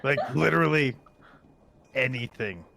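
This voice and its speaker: A man with a creaky voice, bantering and roleplaying casually as a player in a tabletop game. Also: medium pitch, animated, unhurried, noisy background.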